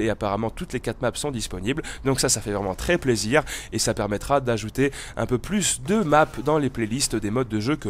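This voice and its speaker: Man with a cold, noisy gaming commentary. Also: mid-range voice. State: has a cold. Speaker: gaming video host. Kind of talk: casual gameplay commentary.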